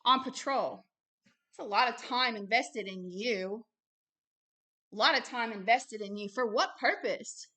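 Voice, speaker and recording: A woman's voice: fairly high pitch, unhurried, sharp tone. A woman ministry host giving religious testimony and commentary in an emphatic, blunt manner, repeating phrases to drive them home. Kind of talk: impassioned religious testimony, exhortation and commentary on a book read aloud.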